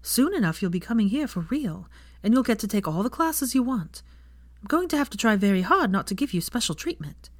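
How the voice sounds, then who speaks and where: Woman playing a gentle, lilting young witch. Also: fairly high pitch, big pitch swings, moderate pace, no echo, clean studio sound, pitched above the performer's own voice. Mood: tender. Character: caring, earnest young witch, gently proper.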